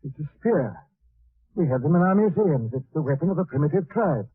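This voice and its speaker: A man's voice: on the high side, expressive, fairly quick, slightly rough, no echo. A man acting a thoughtful, unruffled professor on an expedition in an old-time radio drama, speaking in a composed, scholarly way. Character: thoughtful, unruffled learned male professor explorer. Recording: tinny, noisy vintage radio broadcast.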